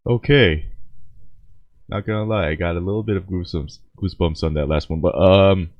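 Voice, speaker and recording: A man chatting casually to viewers in a sleepy, halting way as he hosts a reaction video. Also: low-pitched, no room sound, highs cut off. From lives in Korea. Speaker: host, reacting to game cinematics.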